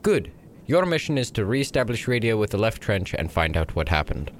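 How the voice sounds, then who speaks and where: A man's voice: fairly low pitch, sing-song, fairly quick, a little hiss or hum, pitched above the performer's own voice. A man voicing a brusque army officer giving curt orders in a war story. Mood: stern. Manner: commanding, curt.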